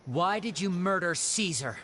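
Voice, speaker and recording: An actor playing a confident young magician hero in a video game, speaking in a theatrical, poised and probing way. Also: male voice, high-pitched, lively intonation, measured pace, sharp tone, a little hiss or hum, full character voice. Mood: cool, probing.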